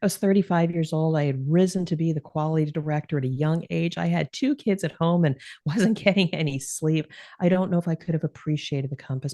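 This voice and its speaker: A middle-aged woman guest speaking calmly and reflectively in an interview, with a soothing, radio-like voice. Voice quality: calm, low voice. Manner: reflective, earnest, with occasional laughs. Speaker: podcast guest. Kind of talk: conversational interview.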